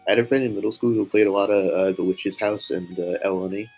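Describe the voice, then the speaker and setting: A man's voice: lowish voice, steady tone, quick pace, gravelly, darkish tone, slightly rough, low-quality recording. A man co-hosting a livestream in casual banter. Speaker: livestream co-host. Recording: music playing behind the voice.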